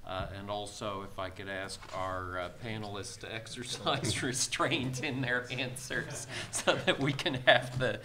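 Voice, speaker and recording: A man moderating a panel discussion, opening the floor to questions in a halting way with many fillers. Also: fairly low pitch, steady tone, background noise. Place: conference hall.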